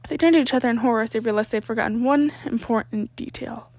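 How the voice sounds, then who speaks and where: Expressive, high-voiced woman reading a story. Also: fast talker, no room sound. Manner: informal, stumbles over words and rereads. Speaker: amateur fan fiction reader. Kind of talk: expressive audiobook narration.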